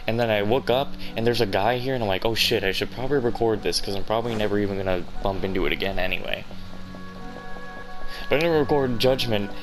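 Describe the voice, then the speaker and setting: A man's voice: medium pitch, poor audio. A man giving casual, rambling, slightly grumbling commentary as he records a video game playthrough. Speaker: gaming video creator. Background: faint game music.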